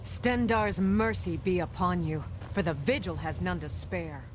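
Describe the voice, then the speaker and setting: An actress playing a stern, zealous leader of a holy monster-hunting order in a fantasy video game, severe and formal. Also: female voice, fairly low pitch, measured pace, close to an everyday voice. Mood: stern, righteous. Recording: game capture with clear background noise.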